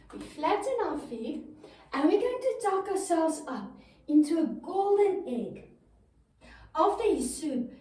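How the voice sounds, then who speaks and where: A woman's voice: sits high, warm tone. A woman telling a children's story while guiding young listeners through yoga movements with simple, encouraging step-by-step instructions. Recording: very echoey room, distant microphone.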